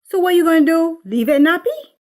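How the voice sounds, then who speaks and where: A woman's voice: very high voice, big pitch swings, brisk, darkish tone, voice pushed up. A woman voicing a brash, teasing mother of sixty in a dramatic script reading, nagging and colloquial.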